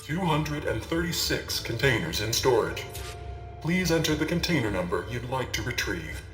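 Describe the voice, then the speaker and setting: Echoey, distant automated facility computer. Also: male voice, mid-range voice, sing-song, moderate pace, fairly bright tone. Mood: impersonal. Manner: formal, procedural. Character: automated storage facility computer announcer, polite and impersonal. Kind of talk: scripted system announcement. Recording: echoey distant facility announcement, faint music.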